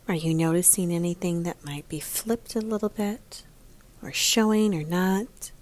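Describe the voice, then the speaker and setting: A woman art teacher leading a picture book lesson for young children in a guiding way, with a breathy, husky voice. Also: medium pitch, darkish tone. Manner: guiding, prompts listeners with questions.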